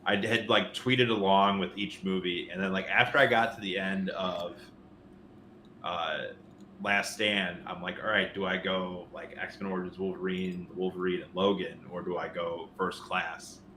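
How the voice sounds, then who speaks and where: A man's voice: deep voice, flat delivery, unhurried, sharp tone. A man co-hosting a podcast, chatting casually in an informal, rambling way. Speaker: podcast co-host. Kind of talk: casual chat about games and movies. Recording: roomy sound, faint noise.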